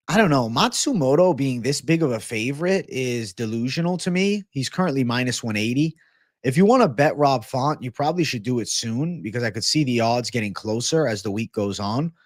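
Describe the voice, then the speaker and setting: A young man from Massachusetts talks loosely to his livestream chat from a home setup, full of slang and quick asides. His voice sits at an ordinary middle pitch, and the close mic leaves it dry with no room around it. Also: no echo. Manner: loose, animated, slangy, says bro. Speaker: MMA livestream host. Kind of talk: casual livestream chat reading viewer comments.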